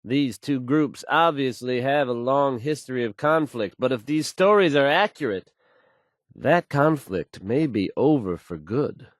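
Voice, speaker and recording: A man's voice: medium pitch, animated, measured pace, no echo, studio quality, lightly acted. A man playing an assured, folksy radio DJ in a video game, delivering news bulletins to listeners with fluent, polished ease. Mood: measured, serious.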